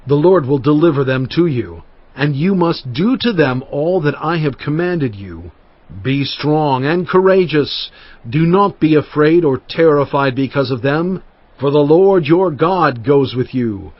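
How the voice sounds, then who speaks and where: A man's voice: a little high, lively intonation, a little slow, no echo. An actor playing an aged prophet and leader, solemn and fervent, addressing his people in a dramatized scripture reading. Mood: encouraging, firm. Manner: solemn, emphatic oratory. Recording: muffled audio with music underneath.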